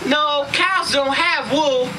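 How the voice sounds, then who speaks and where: A man's voice: very high voice, slow. A man voicing an unbothered, curt female cow in an amateur puppet show. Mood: offhand. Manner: offhand, curt. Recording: distant mic, noisy outdoor farm.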